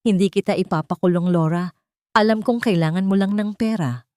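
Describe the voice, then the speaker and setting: A woman voicing a falsely gentle scheming stepmother. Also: mid-range voice, a little fast, dry recording, lightly acted. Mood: falsely kind. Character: scheming sweet-talking stepmother, adult woman.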